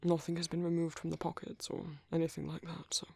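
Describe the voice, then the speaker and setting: A British man playing a gentle, courteous vampire priest in an ASMR roleplay, speaking softly and breathily with polite, hesitant reassurance. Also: a little high, completely flat delivery, quick pace, no room sound, clean studio sound. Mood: gentle, caring. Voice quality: soft, breathy, husky. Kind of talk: ASMR roleplay, soft intimate whispery speech.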